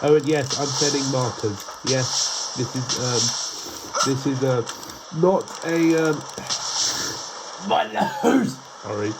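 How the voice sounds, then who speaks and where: A man with a congested, stuffy nose talks his way through a gameplay run, rambling and restarting his sentences and breaking into sudden excited yelps. His voice sits at a middling pitch. Loud clanking gear and ratchet sounds from the game run underneath him. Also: slow, creaky, long pauses, noisy background. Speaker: gaming channel host. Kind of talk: gameplay commentary.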